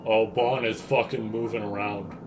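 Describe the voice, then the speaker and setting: A man's voice: fairly low pitch, flat delivery, normal speed, noisy background. A man casually commenting while he watches a horror video on stream, reacting nervously to what he sees. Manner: jumpy, anxious reactions. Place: room at home with a second monitor.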